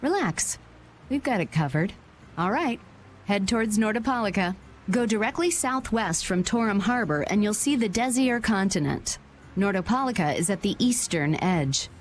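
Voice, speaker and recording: A woman playing a shrewd, confident merchant guild president in a video game, brisk and polished in delivery. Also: medium pitch, expressive, a little hiss or hum, natural voice. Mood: brisk, assured. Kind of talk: video game dub acting.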